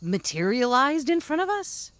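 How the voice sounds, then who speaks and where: A woman's voice: sits high, big pitch swings, normal speed, a bit bright. A woman playing a composed, polite, dryly suspicious lady in a comedic audio drama. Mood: dry, questioning.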